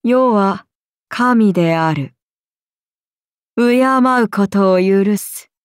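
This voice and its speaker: An actress playing a haughty ancient serpent goddess in a video game, speaking in an imperious, archaic and languid way, amused and menacing. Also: female voice, mid-range voice, very slow, no echo, clean studio sound. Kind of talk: video game character voice lines.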